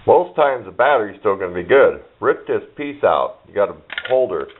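A middle-aged man with a fairly low voice talks casually through a show-and-tell, rambling along in a folksy, offhand manner. The sound is thin and phone-like, picked up by a camera's own microphone with some faint noise. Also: sing-song, moderate pace. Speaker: hobbyist showing a parts collection. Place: indoor workbench. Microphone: handheld camera's built-in microphone. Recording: muffled phone-like sound with hiss, clicks.

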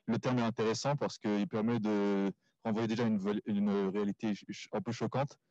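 Halting, low mellow-voiced man. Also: little pitch movement, no echo. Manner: halting, searching for words. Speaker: audience participant. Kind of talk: impromptu debate comment. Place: small crowded café room. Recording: dull, lo-fi event recording.

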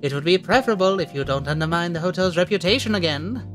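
A man's voice: high voice, quick pace, faint music behind, natural voice. A man playing a suave, menacing radio demon in a cartoon dub, talking with theatrical, condescending politeness. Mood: stern, condescending. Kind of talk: cartoon comic dub acting.

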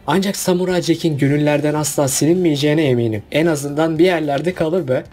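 A man's voice: a little high, fairly quick, a little mellow, faint music behind. A man narrating a casual countdown video about cartoon finales in a chatty voice-over.

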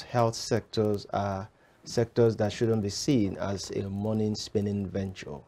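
A man co-hosts a studio discussion show, his voice low and mellow. He frames long, composed questions for his guest. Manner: composed, framing questions at length. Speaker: TV show co-host. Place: TV studio set.

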